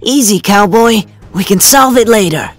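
A woman acts a young superhero teammate in a game roleplay. She is calm and dismissive, with a medium, very varied voice and soft music behind. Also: mid-range voice, moderate pace, no room sound, lightly acted. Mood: unconcerned.

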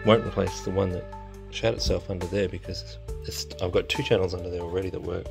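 A very low-voiced man with a creaky, gravelly edge talks casually into a phone around his car. He rambles in an understated way, like a hobbyist thinking aloud as he works. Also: fairly even intonation, fast talker, over music. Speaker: car audio hobbyist vlogger. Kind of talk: casual unscripted vlog commentary. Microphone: handheld phone.

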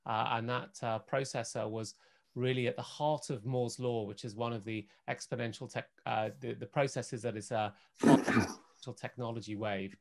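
A man in his forties speaking as a panelist on a video call, measured and articulate with frequent fillers. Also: mid-range voice, steady tone. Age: 40s. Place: indoor home room. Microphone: video call webcam.

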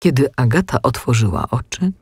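A man narrating a scripted children's audiobook in an expressive, measured storytelling manner. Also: medium pitch, breathy edge, no echo, studio quality.

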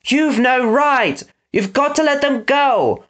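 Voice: sits high, animated, slow pace, a bit bright, voice pushed up, exaggerated voice. A reader voicing a brave young boy hero with an earnest, urgent manner in a storybook reading. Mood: defiant.

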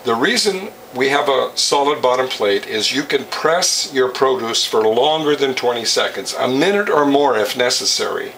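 A man in his sixties presenting in a deliberate, instructional way, like someone telling a plain story or giving a how-to demonstration. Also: medium pitch, lively intonation, fairly bright tone. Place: home kitchen, indoors. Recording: noisy home-kitchen recording, distant mic.

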